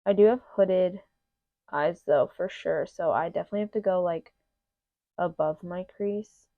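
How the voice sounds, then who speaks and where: A woman's voice: lowish voice, moderate pace, no room sound. A young woman chatting through a makeup tutorial in a casual, filler-heavy way, sounding a little nasal from allergies. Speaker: beauty vlogger. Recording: slightly muffled dry home recording.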